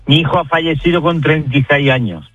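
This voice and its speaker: Older Spanish man, earnest and highish. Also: a little fast, slightly rough, no echo, dull audio, soft background music. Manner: earnest, emphatic. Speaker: member of the public on a phone call.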